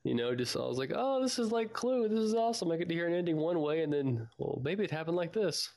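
A man's voice: fairly high pitch, animated, fast talker, creaky, darkish tone. A man co-hosting a podcast, chatting informally about a story and sharing his reactions. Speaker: podcast co-host. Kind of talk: casual chat about an audio drama.

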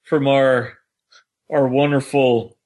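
Man with medium-pitched voice, chatting casually. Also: flat delivery, slow pace, a little mellow. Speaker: podcast co-host. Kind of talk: casual chat.

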